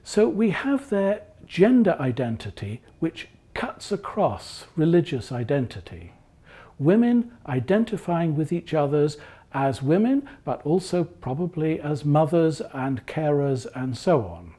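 An older man, a former economist turned philosopher, explaining his research in a measured way with frequent pauses. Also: fairly high pitch, very animated, warm tone, husky.

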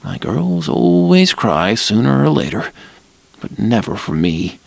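A man reading an audiobook, voicing a weary young man's quiet, rueful inner thoughts. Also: mid-range voice, very animated, a little slow, vocal fry, no room sound, full character voice.

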